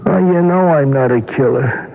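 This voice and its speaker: An actor in a radio crime drama playing a pleading, wheedling, slangy safecracker. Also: male voice, medium pitch, normal speed, gravelly, close to an everyday voice. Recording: tinny old radio, faint noise.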